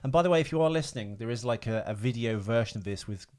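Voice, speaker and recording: A man's voice: medium pitch, lively intonation, quick pace, a little mellow. A man hosting a casual film trivia podcast, explaining things in a hesitant, informal way. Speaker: podcast host.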